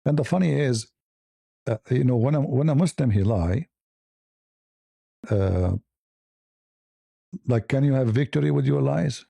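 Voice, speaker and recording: A man's voice: mid-range voice, lively intonation, a little slow, vocal fry, pauses often, mellow, no room sound, studio quality. A middle-aged man giving blunt, mocking live commentary on images, rambling with frequent restarts.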